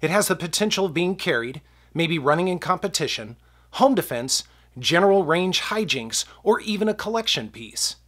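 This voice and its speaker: A young man talks through a product review in a casual, chatty way, calling his viewers "guys." His voice sits a touch high and sounds stuffed up from a cold. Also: animated, normal speed, a bit bright. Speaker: gun review channel host.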